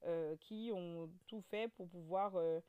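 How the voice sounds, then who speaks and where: A woman in her thirties with a Guadeloupean French accent, a guest telling her story in a casual interview, with lots of fillers, restarts and animated turns. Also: fairly low pitch, slow, clear, resonant tone, narrow, tinny audio. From French overseas territory. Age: 30s.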